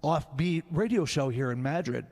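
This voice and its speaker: A man's voice: fairly high pitch, expressive, moderate pace. A man playing a gushing, showy late-night host in a parody talk show on radio. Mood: showy, grateful. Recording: loud music behind the voice.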